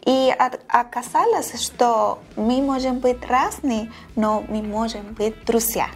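A woman's voice: a little high, slow, soft background music. A young non-native woman with a foreign accent, giving reactions in casual chat. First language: not Russian. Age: young adult. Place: small studio, seated at table. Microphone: lapel.

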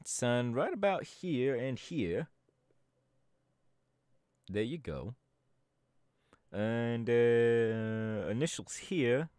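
A man's voice: mid-range voice, expressive, drawn-out words, pauses often, a little mellow, clean tone, no echo, clean studio sound. A man voice acting a laid-back young male DJ gang boss in an ASMR audio roleplay, talking in an easygoing, chatty drawl. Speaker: voice actor.

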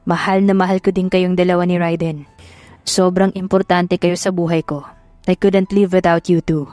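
A woman's voice: fairly low pitch, little pitch movement, normal speed, a little mellow, dry recording, pitched below the performer's own voice, natural voice. A woman with a Filipino accent voicing a devoted young husband in a romance audiobook, earnest and sweet-talking. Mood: tender. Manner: earnest, sweet-talking, mixes English endearments. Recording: music behind the voice.

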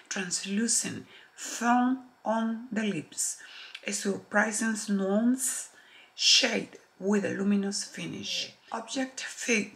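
Middle-aged woman, Chilean Spanish accent, slow, gravelly, soft near-whispers, close camera, home studio. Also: mid-range voice, rough recording. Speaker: makeup artist and beauty vlogger. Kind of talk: makeup tutorial chat to camera. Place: home studio with shelves of products, indoors. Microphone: camera close-up, upper body framing.

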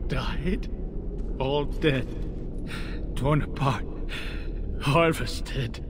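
An actor playing a traumatized, confused dwarf warrior in a video game, muttering in repetitive fragments. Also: male voice, mid-range voice, drawn-out words, background noise. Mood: dazed, haunted. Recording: game music over the voice.

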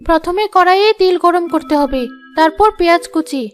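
A woman voicing female family characters in a scripted cartoon, with expressive, dramatic delivery. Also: very high voice, a little fast, soft background music. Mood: anxious, self-talking. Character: women of a family cartoon: fussy aunt, anxious young bride.

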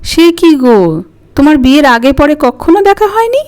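A woman's voice: high-pitched, sing-song, moderate pace, a little mellow, pitched above the performer's own voice. A woman voicing a curious, teasing young granddaughter in a dramatic story reading. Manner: teasing, inquisitive. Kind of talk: dramatic audiobook character reading. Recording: music under the voice.

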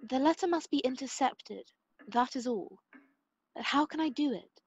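A woman as an anxious high-voiced society lady. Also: expressive, unhurried, breathy edge, lo-fi sound, heavily acted. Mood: anxious, pleading. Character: upright, anxious, dutiful lady, a politician's wife. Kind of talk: amateur radio play acting of a period comedy.

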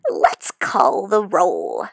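A gleefully cruel storybook monster schoolteacher, acted out by a woman. She cackles and stretches her words very slowly, with sinister menace and lively swings in pitch. The sound is close and dry. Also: creaky, dry recording, heavily acted. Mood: cackling mockery. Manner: cackling, drawn-out sinister delivery. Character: menacing monster teacher, slithering green female, gleefully cruel.

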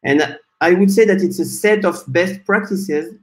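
Man from france talking tech on a webcam, measured pace, lots of uhs, home room. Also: sits high. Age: 40s. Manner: measured, frequent "uh" fillers. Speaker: guest speaker, software developer. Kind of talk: conference talk presentation. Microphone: video call webcam.

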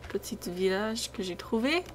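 A woman's voice: medium pitch, expressive, a little slow. A young American woman, a native English speaker with an American accent, chatting hesitantly and casually over gameplay, her voice often trailing off. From United States. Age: young adult. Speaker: gaming video creator. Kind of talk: casual off-the-cuff gameplay commentary. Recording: noisy home recording, game sound behind. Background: video game sounds.

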